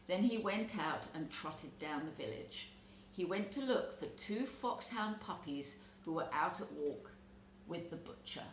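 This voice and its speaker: Female storybook reader, slightly low voice, very expressive intonation, some background noise. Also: a little slow. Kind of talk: expressive children's story narration. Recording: roomy echo, background noise.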